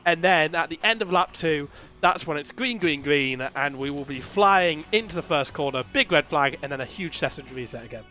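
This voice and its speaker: A man commentating a sim race, chatty and quick to laugh, his voice worn from a cold. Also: on the high side, animated, bright, forceful tone, soft background music.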